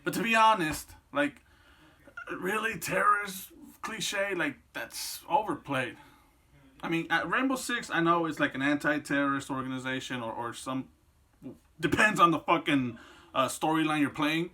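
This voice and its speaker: A man's voice: fairly high pitch, lively intonation, normal speed, pushed and bright. A man in his twenties giving casual, rambling, opinionated commentary on games and films, swearing freely as he goes. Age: 20s. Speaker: video creator and host.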